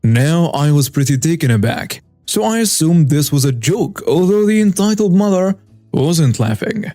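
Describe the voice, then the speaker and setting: A man's voice: on the high side, lively intonation, normal speed, warm tone, dry recording. A young man narrating a first-person story in an easygoing, wry tone. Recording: dry close voice over faint music.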